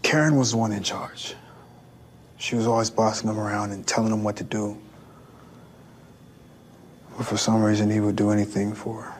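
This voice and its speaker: Actor as low-voiced, halting troubled son. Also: male voice, little pitch movement, slight background noise, natural voice. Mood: subdued, troubled. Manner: halting, subdued. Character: troubled, hesitant grown son recalling his father. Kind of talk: screen drama acting.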